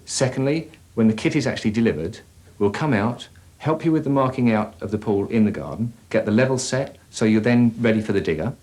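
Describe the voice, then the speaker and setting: A man giving a measured, matter-of-fact explanation as a company representative in an instructional sales film. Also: lowish voice, expressive, darkish tone, natural voice.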